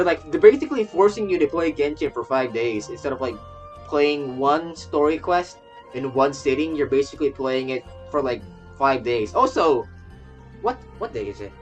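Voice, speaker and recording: A young adult streamer giving casual, rambling gaming commentary and often repeating phrases. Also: medium pitch. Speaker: streamer, virtual YouTuber. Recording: voice over game music. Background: soft game music.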